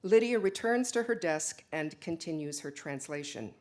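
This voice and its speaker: A woman giving a dramatic reading of a play, narrating the stage directions in a measured, deliberate way. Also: sits low, expressive, a little mellow. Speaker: reader of a play.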